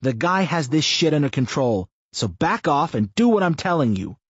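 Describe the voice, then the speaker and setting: A man's voice: fairly high pitch, expressive, normal speed, darkish tone, husky, no echo, voice pushed up. A male narrator voicing a swaggering, profane man who gives orders in an audiobook. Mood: firm, cocky. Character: cocky foul-mouthed man giving orders. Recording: muffled lo-fi audio.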